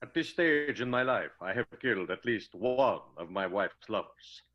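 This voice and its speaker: A killer movie director in a radio play, low grand deadpan voice, kinda lo-fi. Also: male voice, normal speed. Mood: menacing, smug. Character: bloodthirsty, jealous male film star and director. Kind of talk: improvised radio play monologue. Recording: dull, lo-fi sound.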